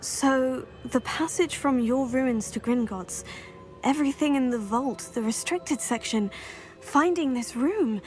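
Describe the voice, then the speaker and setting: A woman's voice: high voice, measured pace, poor audio. An actress playing an eager young female wizard student in a video game, talking in an eager, chatty way. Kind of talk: video game cutscene acting.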